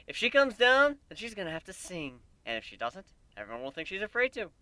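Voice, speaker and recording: A man narrates a first-person fantasy story in an expressive, wry way. His voice is on the high side, bright and very animated, recorded close and dry. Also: a little fast, smooth, dry recording. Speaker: amateur story reader.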